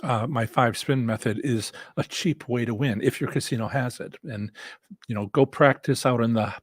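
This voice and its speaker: A man with a middle-pitched, husky voice that sounds a little worn from overuse. He teaches casually as a live-stream host, halting and circling back over his phrases. The recording is dry and close, with little room sound. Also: no room sound. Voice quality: slightly rough, husky voice. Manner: halting, rambling, repeats and restarts phrases. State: voice worn from a lot of talking. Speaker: host and instructor of a live stream on slots strategy.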